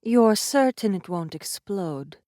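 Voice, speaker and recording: A woman playing a cold, no-nonsense warrior in an audiobook drama, speaking flatly, firmly and curtly. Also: medium pitch, slow pace, dry recording, clean studio sound. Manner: flat, firm, curt. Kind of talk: cinematic audiobook character acting.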